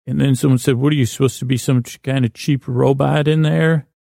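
Man, heavy gravelly creak, mumbly slurred words, halting rambling comic monologue, close dry recording. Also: medium pitch, fairly even intonation, mellow, no room sound. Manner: meandering, halting, keeps losing his thread. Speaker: host of a bedtime podcast.

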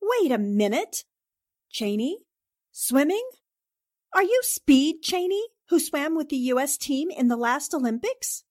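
Woman acting high-voiced inquisitive girl reporter. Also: big pitch swings, a little slow, darkish tone, dry recording, clean studio sound. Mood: probing. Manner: pointed, inquisitive. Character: sharp, inquisitive female newspaper reporter. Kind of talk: 1940s-style radio drama acting.

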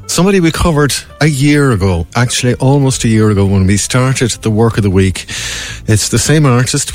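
Brief: male arts radio co-presenter, Irish accent, fairly low voice, conversational delivery with music underneath. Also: a little mellow. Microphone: studio broadcast microphone.

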